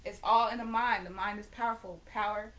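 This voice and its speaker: Bright-voiced teenage woman chatting casually. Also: medium pitch, steady tone, brisk, slight background noise, poor audio. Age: late teens. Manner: rambling, self-correcting, conversational. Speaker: vlogger and painter. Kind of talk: casual chat to camera.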